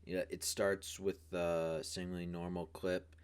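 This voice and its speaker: A man's voice: sits low, level tone, unhurried, smooth. A man hosting a video with casual commentary, talking in a rambling, informal, hedging way. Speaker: video host.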